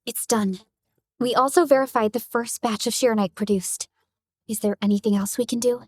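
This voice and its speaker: An actress playing a calm, decisive woman who leads operations in a video game, with a reassuring manner. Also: fairly high pitch, moderate pace, breathy edge, no echo, clean studio sound. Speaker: game character.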